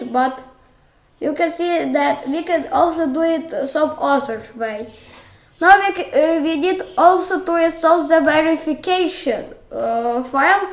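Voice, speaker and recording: High, halting Ukrainian-accented tutorial voice. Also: female voice. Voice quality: childish-sounding voice. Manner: hesitant, halting, many fillers and restarts. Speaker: tutorial host. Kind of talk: screen-recorded software tutorial. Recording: echoey room.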